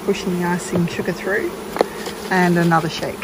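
Low-voiced woman, buzzing bees behind. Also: fairly even intonation, normal speed. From Australia. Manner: unscripted, practical, explaining step by step. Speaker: beekeeper giving a demonstration. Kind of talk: casual hands-on tutorial narration. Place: outdoors in a garden among beehives. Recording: clear background noise of buzzing insects. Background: bees buzzing, outdoor ambience.

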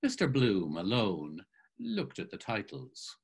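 A man in his sixties giving an expressive dramatic reading and commentary over a video call. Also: lowish voice, slow pace, vocal fry, breathy edge. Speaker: actor and reader.

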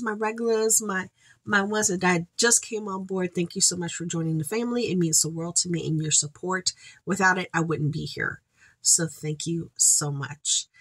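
A congested woman in her forties chats through a store haul with rambling, upbeat asides, her voice sitting somewhat low for a woman. She talks to a webcam while seated in a room at home. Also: fairly bright tone, slightly rough. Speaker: haul vlogger, channel host. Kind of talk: casual haul chat to camera. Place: home room with shelves, indoors.

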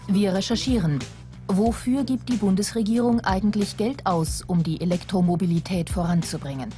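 A woman's voice: medium pitch, moderate pace, mellow. A woman with a standard German accent reads scripted television documentary narration. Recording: music behind the voice. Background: background music.

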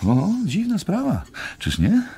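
An actor in a radio play as a long-winded, wry American war correspondent telling a rambling story. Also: male voice, high voice, wildly swooping pitch, measured pace, creaky, warm tone, heavily acted.

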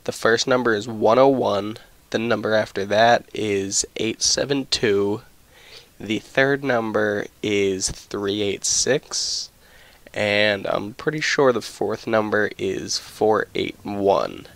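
A man's voice: fairly low pitch, takes it slowly, vocal fry, fairly bright tone, no room sound. A man narrating a casual video game walkthrough.

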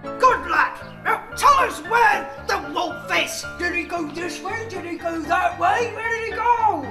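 A woman acting excitable characters in a storybook radio play. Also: very high-pitched, unhurried. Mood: urgent, exasperated. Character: excitable villagers and mean old wolf. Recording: echoey room with music behind.

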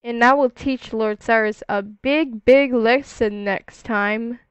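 A woman's voice: on the high side, takes it slowly, clear, resonant tone, no room sound. A woman voice acting a scripted roleplay story. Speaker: creator narrating and voicing characters in Roblox roleplay stories.

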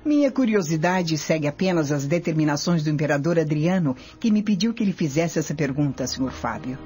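A woman's voice: low-pitched, lively intonation, fairly quick, mellow. A woman playing a haughty, cunning Roman noblewoman, formal and cool, in a radio drama. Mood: coolly probing. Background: music.

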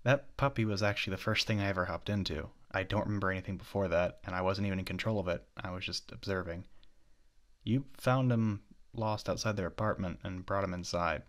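A man in an ASMR roleplay playing an obsessive, possessive young stalker, with an intimate, halting delivery that slips into breathy whispers. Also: sits low, fairly bright tone. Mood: sheepish, earnest.

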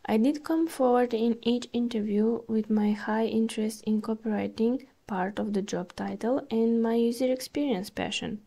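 A woman reads her own article aloud for a podcast, in a calm, measured pace with the odd "uh". Her voice sits a little high but sounds mellow and soft rather than bright. She is recorded close on the mic. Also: creaky. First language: Bulgarian. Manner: calm, measured reading with small hesitations. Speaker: podcast host.

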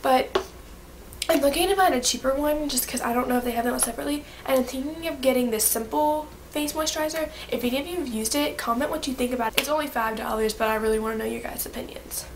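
A young woman chats brightly to a close camera in her bedroom, her nose stuffy from a coming cold. Her voice sits a little high, and she gushes with quick, excited asides. A faint hiss runs under the recording. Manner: bubbly, gushing, quick asides. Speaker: beauty vlogger. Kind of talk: casual monthly favorites vlog. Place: bedroom, indoors.

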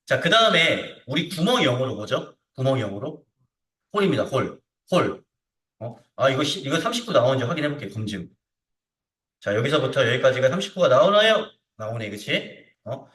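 A man teaching a hands-on software lesson step by step, informally, often checking with short tag questions that the learner is following. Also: mid-range voice, big pitch swings, normal speed. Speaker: CAD instructor. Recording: roomy echo, distant microphone.

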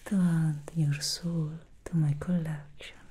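A woman voicing an alluring, scheming female demon hairstylist in an ASMR roleplay, speaking in a slow seductive whisper. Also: sits low, level tone, dark tone, husky, full character voice. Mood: sly whisper.

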